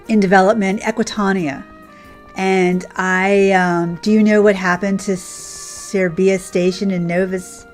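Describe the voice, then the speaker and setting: A woman streamer chatting casually as she plays, rambling and thinking aloud in a conversational way. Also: mid-range voice. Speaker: game streamer, host. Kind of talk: casual livestream chat while playing. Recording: music playing behind the voice. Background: background game music.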